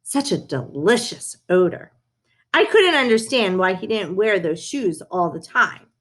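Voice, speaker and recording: A woman's voice: medium pitch, very animated, a little slow. A woman reading a children's story aloud, narrating it in the first person as a dog. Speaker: reader of a children's book.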